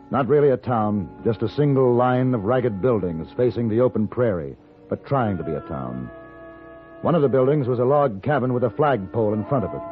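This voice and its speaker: An actor playing a calm, worldly gunfighter in an old-time radio western, speaking in a measured, well-spoken, probing way. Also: male voice, mid-range voice, close to an everyday voice. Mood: wry narration. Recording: muffled vintage radio recording with music.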